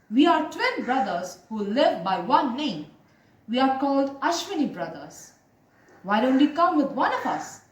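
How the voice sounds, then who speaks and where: A woman storyteller voicing celestial twin physician brothers, male gods who speak in a coaxing, flattering, boastful manner. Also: high-pitched, pitched above the performer's own voice. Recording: roomy, distant mic, faint noise.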